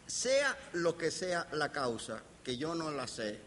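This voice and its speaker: An older man with a Caribbean Spanish accent gives an anecdotal lecture as a professor, deliberate, pausing and playfully humorous. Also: fairly high pitch, very animated, fairly bright tone. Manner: deliberate, with pauses and playful humour. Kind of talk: anecdotal academic lecture to an audience. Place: lecture hall. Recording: reverberant hall, background hiss.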